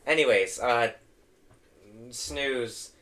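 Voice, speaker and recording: A young man hosting a casual tier-list ranking, his voice going hoarse, opinionated and trailing off into low mumbles. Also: very slow, fairly bright tone. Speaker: host.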